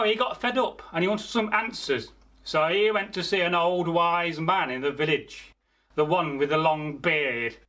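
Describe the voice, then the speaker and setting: A man telling a folktale aloud as a storyteller, theatrical, pausing mid-phrase and speaking directly to his listeners. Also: on the high side, sharp tone. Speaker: storyteller, channel host. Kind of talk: oral folktale storytelling, improvised.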